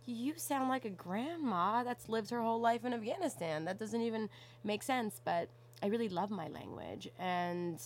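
A young woman from Canada in casual conversation, telling stories in an animated way and often saying "like". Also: a little high, moderate pace. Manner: animated storytelling, frequent "like".

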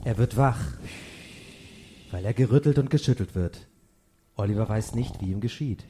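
A man's voice: lowish voice, moderate pace, dark tone, slight background noise, natural voice. A man narrating a comic radio play in a scripted, mock-dramatic storytelling manner.

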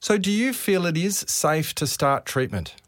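A man's voice: on the high side, lively intonation, measured pace, dry recording, clean studio sound. A man, a GP, interviewing a specialist with short questions and brief acknowledgements.

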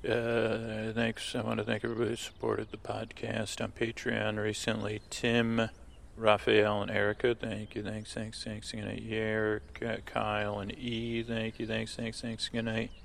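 A man with a creaky voice hosting a sleep podcast, giving low-key, rambling thanks and announcements to listeners. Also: monotone, moderate pace, fairly bright tone. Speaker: podcast host.

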